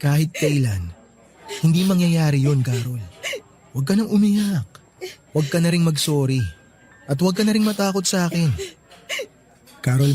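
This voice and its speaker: An actor playing a devoted, gentle young boyfriend in a Filipino radio romance drama, earnest and soothing, with a Filipino accent. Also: male voice, medium pitch, close to an everyday voice.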